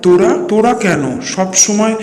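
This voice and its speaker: A man plays a village man in a horror cartoon, quick to take alarm and scold. His voice is fairly high and carries a long reverb. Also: steady tone, darkish tone, soft background music, lightly acted. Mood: exasperated. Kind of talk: animated cartoon dialogue. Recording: echoey reverberant dubbing.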